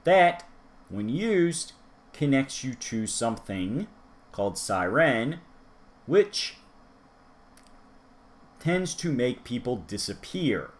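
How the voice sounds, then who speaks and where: A man hosting a casual manga review, talking chattily with asides to the viewer. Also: mid-range voice. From United States. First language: English. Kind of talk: casual solo review talk.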